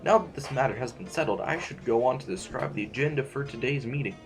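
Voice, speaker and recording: A man playing a formal, courteously menacing sovereign who chairs a council, speaking in pompous, measured, halting oratory in an audio drama. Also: mid-range voice, lively intonation, music in the background, close to an everyday voice. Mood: solemn, formal.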